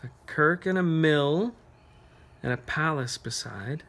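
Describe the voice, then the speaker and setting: A man reading a picture book aloud to children, pausing to ask them gentle, encouraging questions. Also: a little high, animated, a little hiss or hum. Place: carpeted room at home, sitting on floor.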